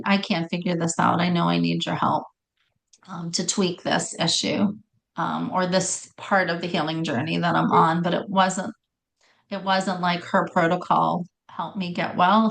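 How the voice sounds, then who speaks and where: Middle-aged woman, raspy, narrow flat intonation, slightly low pitch, conversational personal storytelling, halting self-corrections. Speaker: guest telling health story.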